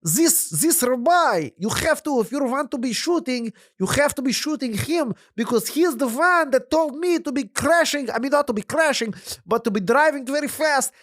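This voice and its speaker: A man voicing a simple, excitable male wagon driver who speaks broken English in a raised voice. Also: normal speed, no echo, clean studio sound. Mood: pleading. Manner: broken English, raised pitch, talks in a rush.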